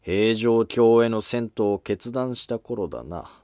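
A man's voice: lowish voice, slow pace, warm tone, faint music behind. A man playing a stern, dutiful senior court historian in a scripted historical drama. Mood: measured, pondering.

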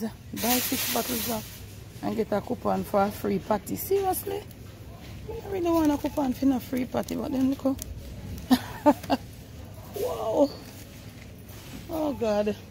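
A woman's voice: high voice, gravelly, mellow, rough recording. Brief: middle-aged Jamaican woman, casual vlogger, chatty running commentary, phone mic with traffic and store noise behind. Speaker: family vlogger. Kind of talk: casual vlog narration. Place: in a car, then a large supermarket. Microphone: handheld phone camera.

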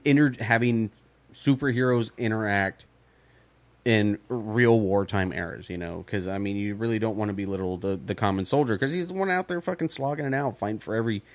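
A man's voice: fairly low pitch, a little fast, no room sound. A man co-hosting a casual podcast chat, rambling with lots of fillers and swearing. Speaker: podcast co-host.

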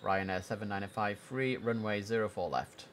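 A man's voice: lowish voice, moderate pace, background noise. Chatty young man in headset. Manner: relaxed, chatty, repeats words for emphasis. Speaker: streamer playing air traffic controller. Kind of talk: casual gameplay commentary with radio calls.

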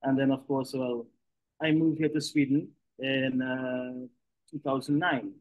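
A middle-aged man from Tobago with a Trinidad and Tobago accent, a restaurant co-founder speaking in a relaxed way in a video call interview. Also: mid-range voice, a little slow, no echo, phone-quality sound.

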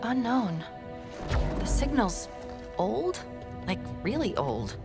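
A quick-witted female AI companion talks in a fairly high voice. She is expressive and emotional, conversational in game cutscene style, with music behind her. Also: big-room sound, full character voice. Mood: focused. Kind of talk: video game cutscene acting.

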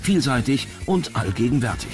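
A man's voice: medium pitch, big pitch swings, normal speed. A man reading scripted documentary narration. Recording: music under the voice. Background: background music.

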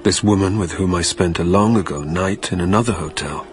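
Actor as a low-voiced weary businessman narrator. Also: faint music behind, natural voice. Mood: weary, wry. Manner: measured, wry, repetitive phrasing. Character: weary, flight-fatigued businessman narrating his haunted night. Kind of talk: scripted literary radio drama narration.

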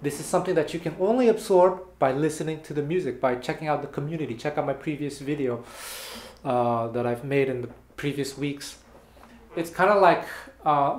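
A man in his thirties giving a casual guitar lesson, explaining lively and gesturing between bits of playing, filmed by a fixed camera in a small bare room. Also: a little high. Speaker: guitar teacher. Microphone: fixed camera at a few meters. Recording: roomy echo with guitar playing.

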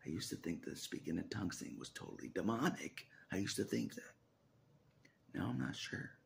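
A man in his forties with a very deep, gravelly voice that drops into breathy whispers. His pitch swings widely as he muses aloud, restarting and repeating phrases. It sounds like a phone held at arm's length in a small room. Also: very animated, fairly quick, a little hiss or hum. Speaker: religious vlogger. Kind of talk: rambling personal vlog.

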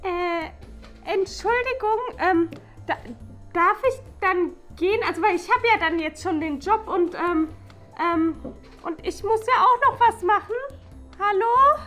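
A nervous young female newcomer in a comedy sketch. Her voice is extremely high and squeaky, stammering apologetically and evasively, with soft music underneath. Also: measured pace, pauses often, heavily acted. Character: timid, evasive young female new teacher. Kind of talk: exaggerated comedy sketch acting.

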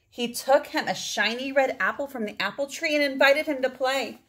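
A woman in her forties reading a picture book aloud to children, drawing out words for effect and adding asides to her listeners. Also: on the high side. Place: indoors, small room at home.

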